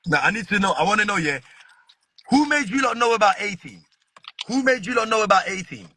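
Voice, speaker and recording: A man with a husky voice hosting a casual podcast chat, pressing his guests with the same question again and again. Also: high-pitched, a little fast. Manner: animated, repeats questions insistently. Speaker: podcast host.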